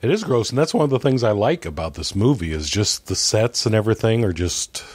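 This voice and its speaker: A man's voice: fairly low pitch, animated, fairly quick, slightly rough, no room sound. A man as a podcast guest, chatting casually about film in a hesitant way, trailing off and chuckling. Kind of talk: casual movie discussion.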